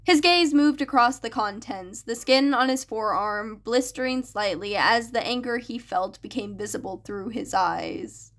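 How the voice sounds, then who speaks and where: A woman's voice: on the high side, measured pace, hardly any pauses, a bit bright. A woman reading a story aloud as a scripted audiobook narration. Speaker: fan fiction narrator.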